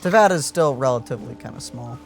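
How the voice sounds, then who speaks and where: A man's voice: medium pitch, expressive, quick pace. A man co-hosting a gaming reaction with casual, dry, wry asides. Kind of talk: casual chat and commentary. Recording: faint game music behind. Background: game music.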